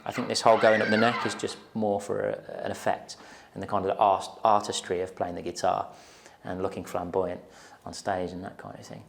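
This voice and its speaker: A man's voice: lowish voice, little pitch movement, brisk, creaky, few pauses. A young man giving a casual guitar lesson in a small indoor studio, explaining step by step on a lapel mic and counting beats aloud. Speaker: guitar teacher. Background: electric guitar playing.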